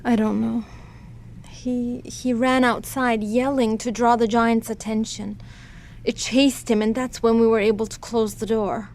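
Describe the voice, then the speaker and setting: An actress playing a gentle, shaken survivor in an audio drama, speaking softly, consoling and somber. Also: female voice, on the high side, little pitch movement, unhurried, a little hiss or hum. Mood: grieving. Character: gentle, shaken woman survivor tending an injured friend.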